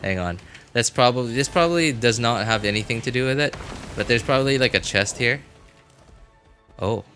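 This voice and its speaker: A man's voice: mid-range voice, moderate pace, pauses often, a bit bright. A man who is a game streamer, giving casual live commentary and thinking aloud in a rambling way. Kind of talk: casual livestream gaming commentary. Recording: music behind the voice.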